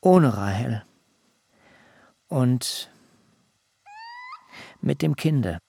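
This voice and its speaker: A bereaved patriarch in a radio play, speaking extremely slowly in a gravelly, creaking voice. His delivery is solemn and halting, heavy with grief, with faint noise behind it. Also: medium pitch, animated, warm tone, full character voice. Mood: grieving, solemn. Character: grieving patriarch husband burying his wife. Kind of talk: literary radio drama.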